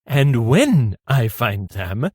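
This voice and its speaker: A man's voice: medium pitch, very animated, drawn-out words, darkish tone, slightly rough, no echo, studio quality, full character voice. A man playing a fussy, prim little gourmet judge in a comedy radio play, precise and theatrical. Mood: exasperated.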